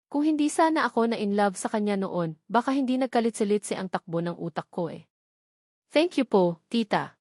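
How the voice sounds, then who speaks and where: A woman's voice: mid-range voice, lively intonation, a little fast, darkish tone, no room sound, studio quality. A woman with a Filipino accent reading a first-person novel as a scripted narration. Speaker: audiobook narrator.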